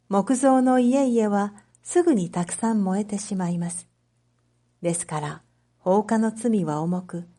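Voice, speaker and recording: Slightly high, soft-toned woman narrating a story. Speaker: narrator, Japanese reading teacher. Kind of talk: scripted story narration for read-aloud practice.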